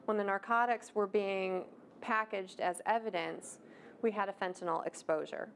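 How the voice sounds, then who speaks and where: A woman giving a formal, halting official statement as a police spokesperson. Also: medium pitch, steady tone, gravelly. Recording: faint background noise.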